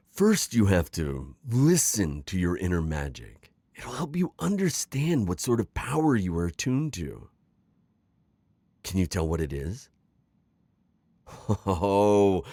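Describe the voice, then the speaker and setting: A man's voice: deep voice, mellow, dry recording, exaggerated voice. A man playing a suave, manipulative magical villain mentor in an intimate ASMR roleplay, coaxing and teasing as he talks. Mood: delighted, encouraging. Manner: coaxing, smooth-talking, chuckling, drawn-out teasing phrasing. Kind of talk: ASMR roleplay acting, intimate one-sided dialogue.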